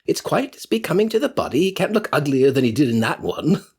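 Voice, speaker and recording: A man's voice: a little high, very animated, quick pace, husky, pitched above the performer's own voice, heavily acted. A man voicing a coarse, scornful, boastful old woman who gloats, in an audiobook story. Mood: brazen, scornful. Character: coarse, brazen, gloating old charwoman, cackling. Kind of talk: audiobook character acting.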